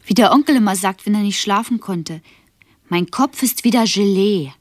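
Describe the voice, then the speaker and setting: A woman's voice: medium pitch, moderate pace, no room sound, clean studio sound, lightly acted. An actress playing a frail, queasy, dreamy woman in a radio drama, speaking hesitantly and breathily. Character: frail, queasy, dreamy woman companion full of odd sensations. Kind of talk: 1970s German radio drama acting.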